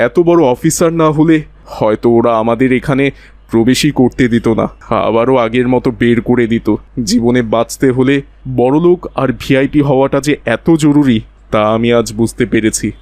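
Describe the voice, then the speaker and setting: A humble, kindly older guardian from a cartoon, played by a man. He speaks at a middling pitch in a gentle, fatherly manner, recorded close and dry. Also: normal speed, no room sound, natural voice. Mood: proud, moved. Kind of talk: cartoon voice acting.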